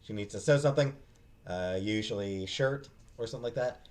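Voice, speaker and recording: A man giving a casual, unscripted book talk in a halting way, searching for his words. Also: lowish voice, darkish tone. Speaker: book reviewer, host.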